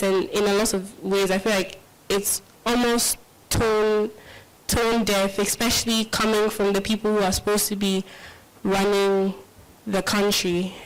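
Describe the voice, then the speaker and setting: A woman speaking on a panel in a measured way, often hedging her points. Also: medium pitch, low-quality recording. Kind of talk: panel discussion.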